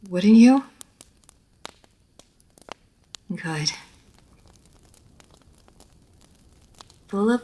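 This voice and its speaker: An immortal lady vampire played by a woman presides over her manor in a slightly low voice. She speaks to the listener with measured, old-fashioned formality. Her poise has a teasing edge, in the style of a roleplay audio story. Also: mellow, husky, full character voice, rough recording. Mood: composed, probing.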